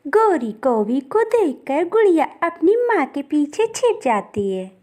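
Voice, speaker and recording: A woman's voice: sits high, big pitch swings, slow pace, a little mellow. A woman reading scripted narration for a children's cartoon story.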